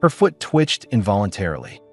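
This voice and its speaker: A man with a low voice narrates a story in a scripted, dramatic way. His pitch rises and falls in a lively manner. Faint music plays behind him. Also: brisk, slightly rough. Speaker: audiobook narrator.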